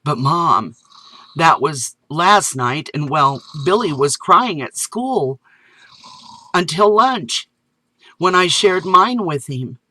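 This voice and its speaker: An eager young boy who begs over and over, his voice pitched well up and pushed loud, put on by a man reading. Each plea lands hard on "Mom". The reading is close and dry, with no room around it. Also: expressive, takes it slowly, no echo, full character voice. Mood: pleading. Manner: pleading, repeats "Mom". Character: earnest little boy, pleading.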